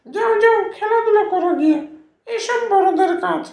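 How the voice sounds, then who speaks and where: A woman's voice: very high-pitched, normal speed, a little mellow, reverberant. A woman voicing a dismissive older village woman in a cartoon. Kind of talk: cartoon voice acting.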